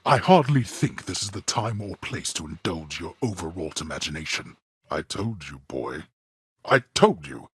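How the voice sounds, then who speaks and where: Casting: imperious underworld god for a game, very deep, husky, commanding, cold formal delivery. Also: male voice, a bit bright, no echo, clean studio sound, full character voice. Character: stern imperious male god of the dead. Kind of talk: video game voice acting.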